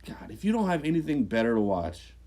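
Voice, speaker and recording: A man co-hosting a podcast, chatting casually, swearing freely and jumping into squeaky mock voices. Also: big pitch swings, fast talker, dark tone. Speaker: podcast co-host. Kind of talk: casual chat.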